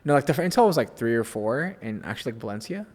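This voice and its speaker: A young man with an American accent talks in a relaxed, slangy way, often poking fun at himself. His voice sits at an ordinary middle pitch. The recording carries a long reverb tail, like a large hard room. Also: fast talker. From Southern California. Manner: laid-back, slangy, self-deprecating. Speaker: podcast guest. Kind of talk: casual chat.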